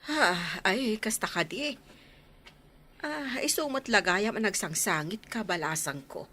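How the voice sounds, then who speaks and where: A woman's voice: medium pitch, lively intonation, measured pace, bright, forceful tone. A woman playing a sympathetic village aunt in a radio drama, with music underneath. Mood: concerned. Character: concerned, sympathetic village auntie. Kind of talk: radio drama acting in Ilocano.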